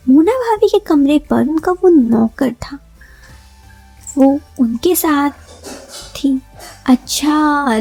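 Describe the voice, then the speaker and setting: A woman's voice: high-pitched, gravelly, warm tone, dry recording. A woman narrating a first-person story in a confiding, conversational way, acting out bits of dialogue.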